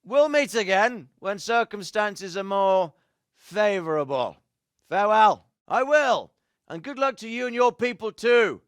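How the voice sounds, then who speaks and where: A man's voice: high voice, expressive, measured pace, dry recording, clean studio sound. An actor playing a resolute, embattled medieval nobleman in a video game, formal and urgent as he leads his men. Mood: earnest.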